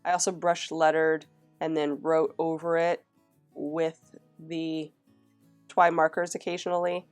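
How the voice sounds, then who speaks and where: Low-voiced woman with a cold-scratched throat. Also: level tone, unhurried, faint music behind. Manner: chatty, with frequent fillers and restarts. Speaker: planner vlogger. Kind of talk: casual planner flip-through narration.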